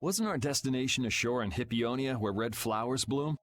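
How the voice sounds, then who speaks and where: An actor playing a casual, self-assured young adventurer in an anime video game dub. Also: male voice, mid-range voice, moderate pace, a bit bright, slight background noise, lightly acted. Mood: cool, firm. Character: cool, unbothered young male adventurer. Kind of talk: English-dubbed anime video game acting.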